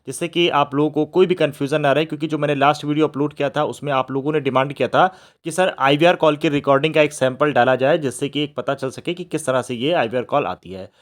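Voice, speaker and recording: Casting: man, online channel host, quick explanatory patter in a slightly raised voice, informal address. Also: on the high side. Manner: explanatory, addresses viewers as friends. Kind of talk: informal video introduction to viewers.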